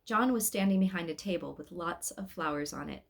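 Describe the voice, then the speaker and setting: A woman's voice: on the low side, animated, a little fast, vocal fry. A woman hosting a read-aloud, narrating a storybook expressively with casual asides. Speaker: storybook reader, host.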